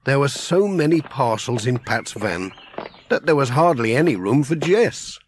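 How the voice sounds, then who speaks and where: A man reads a children's story as narrator. His delivery is unhurried and animated, on a recording with faint hiss. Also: medium pitch. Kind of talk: scripted children's audiobook narration.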